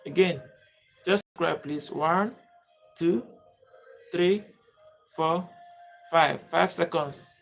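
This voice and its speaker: A man's voice: on the high side, takes it slowly, creaky, pauses often, a bit bright, faint music behind. A man from Nigeria, hosting a live-streamed lesson and repeatedly pleading with viewers to comment and subscribe. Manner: repetitive, pleading appeals to viewers. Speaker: livestream host and teacher.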